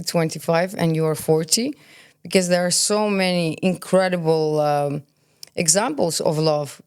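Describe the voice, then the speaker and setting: Low-pitched, opinionated woman of forty. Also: darkish tone. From born in Kazakhstan, grew up in Belgium. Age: about 40. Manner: opinionated, emphatic, poses questions and answers them herself. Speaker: podcast guest, cosmetologist. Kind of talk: candid conversational interview.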